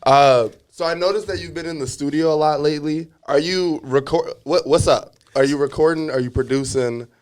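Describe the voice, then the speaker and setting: A man co-hosting a podcast, joking around with quick interjections. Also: on the high side, a little mellow. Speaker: podcast co-host. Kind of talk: casual podcast chat.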